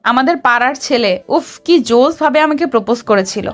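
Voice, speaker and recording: A woman's voice: on the high side, animated, quick pace, lightly acted. A woman playing a feisty, sassy young officer in a romantic audio story, with a melodramatic manner. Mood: demanding, teasing. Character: feisty, dramatic young woman officer in love with her boss.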